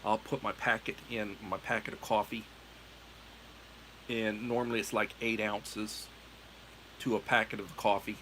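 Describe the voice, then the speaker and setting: A man's voice: fairly low pitch, little pitch movement, measured pace, long pauses, bright, forceful tone, slight background noise, rough recording. A middle-aged American man hosting a livestream, chatting in a meandering, self-correcting way. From United States. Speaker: livestream host. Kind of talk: casual rambling chat.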